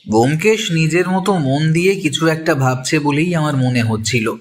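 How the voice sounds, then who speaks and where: A man playing a detective's curious companion, telling the story in the first person in an audio drama. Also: a little high. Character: detective's male companion narrating, curious and sceptical.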